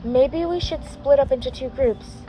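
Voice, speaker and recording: Woman doing a careful nerdy-sensible young guy, measured, a bit weary, background noise. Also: high voice, muffled recording. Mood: cautious, practical. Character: cautious, exasperated young male peacemaker in zombie story.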